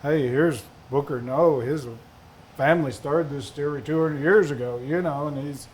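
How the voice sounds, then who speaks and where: A man's voice: on the high side, moderate pace, a little hiss or hum. A man with a Southern accent, a distiller on a panel, telling folksy, rambling anecdotes. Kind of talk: casual storytelling on a panel.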